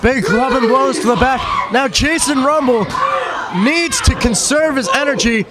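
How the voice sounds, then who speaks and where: High-pitched hoarse wrestling color man. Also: moderate pace. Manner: energetic, loud, joking asides. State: losing his voice. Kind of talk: live wrestling commentary. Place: small hall at a live wrestling show. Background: loud crowd and room noise.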